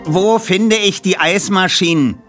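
A man's voice: high voice, measured pace, a bit bright, soft background music, voice pushed up. A man voicing an impatient, indignant elderly female customer in an audiobook reading. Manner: indignant, barking.

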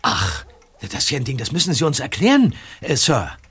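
Eager young-detective type, husky and animated. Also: male voice, medium pitch, moderate pace, heavily acted. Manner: hesitant, deferential, eager. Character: eager, polite, excitable male amateur detective. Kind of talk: German audio drama acting.

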